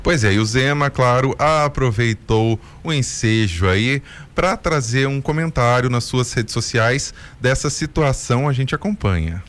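A man's voice: medium pitch, animated, measured pace, few pauses, fairly bright tone, soft background music. A man co-hosting a radio show, chatting jokingly and listing facts with ease. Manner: joking, knowledgeable, playful. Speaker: radio co-host. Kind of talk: casual talk radio chat.

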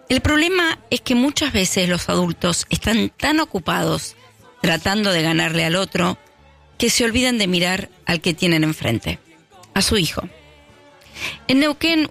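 A man with an Argentine accent delivering a reflective radio monologue with measured, emphatic pauses. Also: sits high, gravelly, fairly bright tone. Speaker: radio host. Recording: radio broadcast with music beneath.

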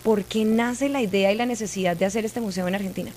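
A woman's voice: mid-range voice, quick pace, darkish tone. Woman interview host with faint hum behind. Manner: curious, direct questioning. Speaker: radio or show host.

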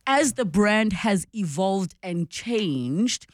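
A woman's voice: medium pitch, lively intonation, slow pace, no room sound, clean studio sound. A South African woman radio host speaking conversationally, explaining and advising in an encouraging manner. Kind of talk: conversational advice and interviewing.

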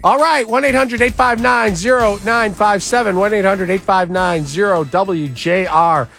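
A man's voice: high voice, normal speed, gravelly, a bit bright, faint music behind. A man hosting a call-in talk radio show, speaking loosely with frequent "uh" fillers.

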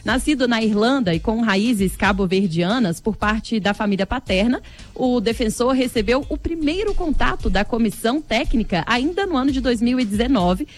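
A woman co-hosting a radio news show, chatty and lively, talking straight to listeners. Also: fairly high pitch, normal speed. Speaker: news co-host. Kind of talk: radio news bulletin chat. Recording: faint music bed behind.

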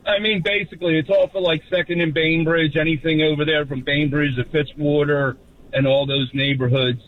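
A blunt, chatty man in his fifties from Philadelphia calls into a radio show. His voice comes through a tinny phone line and sits slightly high. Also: steady tone, normal speed. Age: 50s. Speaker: caller. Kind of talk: casual call-in chat.

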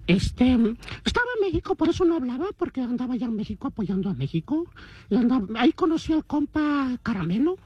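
A man's voice: very high voice, very animated, quick pace, breathy edge, no room sound, highs cut off. A man from Tijuana with a Mexican accent, rambling playfully and boastfully as a caller leaving a message.

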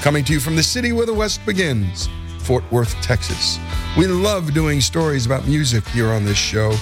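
A man's voice: a little high, lively intonation, normal speed, music in the background. A man from northern New Jersey with a New Jersey accent, hosting a radio interview show and talking up his guest with enthusiasm. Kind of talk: radio interview with scripted intro.

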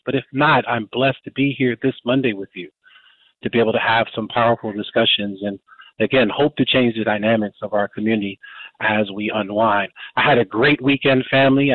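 A man's voice: medium pitch, dry recording. Man hosting community radio over a phone-like line. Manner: rambling, frequent fillers and restarts. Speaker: radio show host. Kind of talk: casual community radio talk. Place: Baltimore, Maryland. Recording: dry, phone-like narrow band.

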